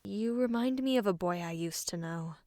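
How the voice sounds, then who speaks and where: A woman's voice: medium pitch, normal speed, mellow, dry recording, clean studio sound, natural voice. An actress playing a guarded, remorseful young wolf girl in an audiobook drama, speaking earnestly and hesitantly. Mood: tentative. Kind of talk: audiobook drama character acting.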